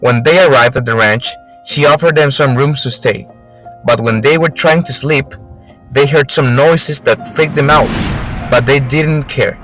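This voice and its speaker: A young man reads a scripted story as an amateur radio drama narrator. His pitch is average, and he trips on a word now and then. Quiet music plays behind him. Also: unhurried. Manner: read aloud with stumbles.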